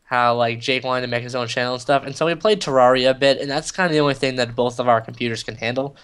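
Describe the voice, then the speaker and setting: A man's voice: mid-range voice, fairly even intonation, fast talker, a bit bright, clear, resonant tone. A young man co-hosting a gaming video, telling a story in loose, filler-heavy casual chat. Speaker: gaming channel co-host. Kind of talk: casual chat over gameplay.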